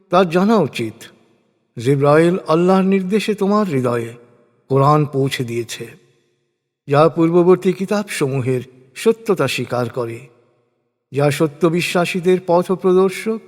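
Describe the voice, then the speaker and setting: A man reading a religious translation as a solemn scripted narration, in measured, deliberate phrases. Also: on the high side. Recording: long hall-like reverb.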